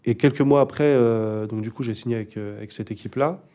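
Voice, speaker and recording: A man's voice: medium pitch, fast talker, dark tone. A young man, a rapper, chatting casually in an interview, laid-back and hesitant, with many fillers and restarts. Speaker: rapper guest.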